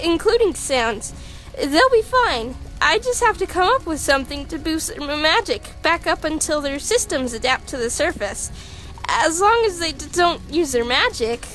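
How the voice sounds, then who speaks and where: A woman voicing a nervous, geeky female monster scientist in a comic dub, stammering as she explains earnestly. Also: a bit bright. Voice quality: stammering, high-pitched. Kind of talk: animated comic dub acting. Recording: music behind the voice.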